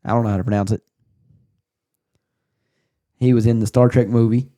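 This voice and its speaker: A man's voice: medium pitch, steady tone, normal speed, dark tone. A man talking casually about movies as a panelist on a podcast.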